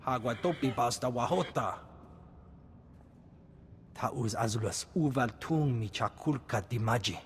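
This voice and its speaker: A male alien from a sci-fi video game, declaiming in short phrases of made-up speech. The voice is mid-pitched, with a long reverb and faint noise behind it. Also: animated, a little slow, pauses often. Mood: declamatory. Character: male alien speaking an invented guttural tongue.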